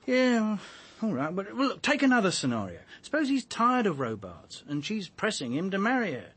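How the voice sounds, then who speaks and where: Blunt, husky British police inspector. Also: male voice, high voice, wildly swooping pitch, moderate pace, a bit bright, exaggerated voice. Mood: insistent. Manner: blunt, colloquial, argumentative. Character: blunt, dogged male police inspector arguing out theories. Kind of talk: naturalistic British radio drama acting.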